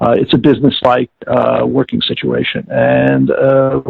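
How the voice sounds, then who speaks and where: A man answering interview questions as a producer, measured and wry, searching for his words. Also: medium pitch, very animated, vocal fry, slightly rough, no room sound. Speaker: audiobook producer being interviewed. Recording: tinny, dry phone line.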